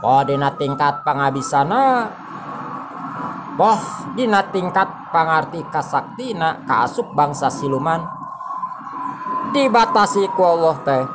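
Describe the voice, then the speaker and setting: A man voicing a resigned human seeker in a folk tale, reasoning in a measured, deliberate way over background noise. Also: on the high side, expressive, over music, pitched below the performer's own voice. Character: Bagas, resigned, reasoning human man seeking demon's help. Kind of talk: Sundanese folk-tale storytelling.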